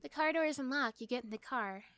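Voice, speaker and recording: A woman's voice: high voice, fast, fairly bright tone, no echo. A woman reading scripted second-person narration for an ASMR roleplay.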